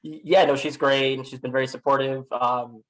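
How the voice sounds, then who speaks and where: A man's voice: mid-range voice, steady tone, moderate pace, low-quality recording. A man from Miami with an American accent, speaking as a podcast guest in a casual, reflective, self-deprecating interview style. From Miami, United States.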